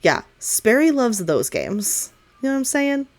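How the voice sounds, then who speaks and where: A woman playing an excitable, teasing young female friend in a tabletop game, rambling in dramatic slang. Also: high voice, measured pace, voice pushed up, heavily acted. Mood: excited rambling.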